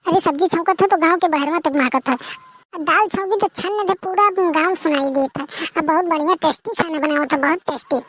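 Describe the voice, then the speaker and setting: A high-voiced, folksy village woman played by a female performer with an Awadhi accent from rural northern India. She chatters boastfully and banters through cartoon comedy dialogue. The sound is dry and close. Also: a little fast, a bit bright, no room sound.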